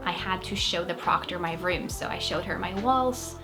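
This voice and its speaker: A woman's voice: on the low side, normal speed. Norwegian woman chatting over music. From Norway. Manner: chatty, self-deprecating, upbeat. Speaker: vlogger, actress. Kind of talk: casual talk to camera. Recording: music playing behind.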